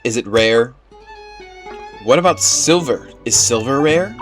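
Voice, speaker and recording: A man's voice: mid-range voice, lively intonation, unhurried, soft background music, natural voice. Guy playing a curious little fairy sidekick girl from a game, chatty and childish, lots of up and down. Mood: curious, puzzled. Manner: talks of self in third person, inquisitive.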